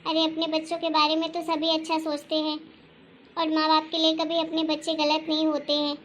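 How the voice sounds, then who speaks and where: Casting: female performer as considerate dutiful wife, very high soft voice, respectful, family moral story. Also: completely flat delivery, a little fast, slight background noise. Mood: concerned, considerate. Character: gentle, considerate elder daughter-in-law, dutiful wife. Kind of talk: acted dialogue in Hindi family story.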